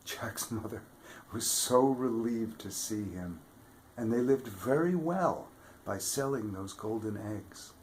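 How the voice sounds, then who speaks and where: Guy reading a kids' fairy tale, slow and unhurried, goes whispery for the spooky bits. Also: on the low side, slight background noise. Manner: expressive, drops to whispers for suspense. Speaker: storybook reader, theatre regular. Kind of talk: children's story read-aloud.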